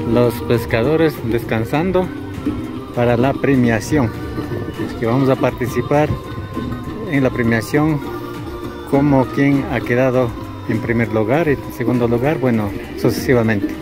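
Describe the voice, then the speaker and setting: A man's voice: mid-range voice, measured pace, long pauses. Man vlogging outdoors. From Ecuador. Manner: upbeat, tour-guide style, inviting viewers. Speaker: vlogger host. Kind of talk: casual outdoor vlog narration. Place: rural riverside hills outdoors. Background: music.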